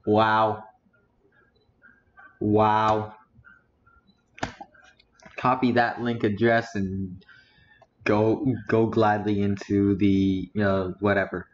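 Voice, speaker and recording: Teen boy, casual stream commentary, slow unhurried talk, muffled lo-fi sound with faint hiss. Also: fairly low pitch, little pitch movement. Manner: muttering, reading aloud while browsing. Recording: dull lo-fi audio with faint noise.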